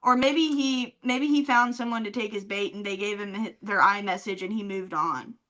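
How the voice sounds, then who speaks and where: A woman's voice: medium pitch, normal speed, fairly bright tone. A young woman with a girly voice, co-hosting a podcast in casual, joking chat. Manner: joking, laughing, playful asides. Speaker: podcast co-host. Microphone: desk mic with auto-follow camera.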